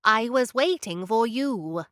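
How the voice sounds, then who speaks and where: A woman's voice: on the high side, expressive, slow, a bit bright, dry recording, studio quality. A woman playing a curt tiny ship captain. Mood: brusque. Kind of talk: audio drama acting.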